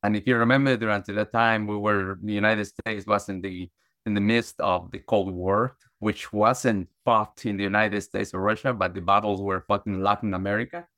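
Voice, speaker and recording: A man's voice: fairly low pitch, fairly even intonation, moderate pace, fairly bright tone, dry recording, studio quality. A middle-aged man from Guatemala, a podcast guest, telling his life story earnestly with many restarts and fillers. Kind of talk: conversational storytelling.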